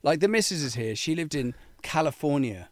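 A man's voice: fairly high pitch, animated, fairly quick, no room sound. An English man in his fifties chatting casually as a guest, telling rambling stories and swearing freely. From England. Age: 50s. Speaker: guest musician. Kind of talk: casual podcast chat.

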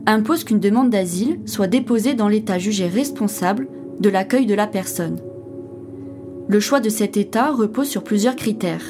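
A woman giving clear, scripted documentary narration that explains a procedure. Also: mid-range voice. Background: music.

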